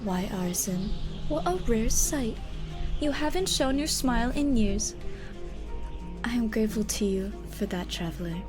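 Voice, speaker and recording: Proud, stern female illusionist voiced by an actress, fantasy audio drama, higher voice, formal theatrical delivery, loud music underneath. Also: expressive, measured pace. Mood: solemn, commanding. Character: Zaya, proud illusionist woman, formerly bloodthirsty, stern but courteous.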